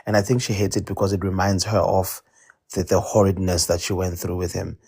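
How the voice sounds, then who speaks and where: A man with a fairly low voice tells a story casually. He rambles, hesitates and restarts his sentences often. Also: flat delivery, fairly quick, creaky, darkish tone, breathy edge. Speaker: debate participant.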